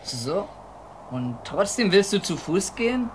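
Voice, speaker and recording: An actor playing a good-natured, folksy Siberian wagon driver in a German audio drama. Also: male voice, high-pitched, sing-song, measured pace, slight background noise. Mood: curious, amused.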